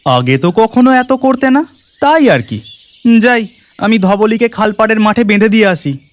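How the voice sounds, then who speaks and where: A man's voice: high-pitched, lively intonation, normal speed, a little hiss or hum. A man voicing a mild young village husband and dutiful son in a cartoon. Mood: puzzled. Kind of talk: cartoon voice acting.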